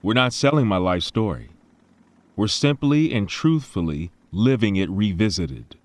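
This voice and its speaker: A man's voice: on the low side, expressive, unhurried, dry recording. An actor playing a reclusive, philosophical billionaire inventor in a sci-fi audio drama, a man speaking articulately and with self-assurance. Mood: self-assured, musing.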